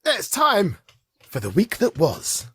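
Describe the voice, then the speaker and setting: A middle-aged British man with a husky voice, chatting informally and jokily as a podcast co-host. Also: sits high, huge pitch swings, takes it slowly, fairly bright tone, dry recording, studio quality. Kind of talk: casual chat.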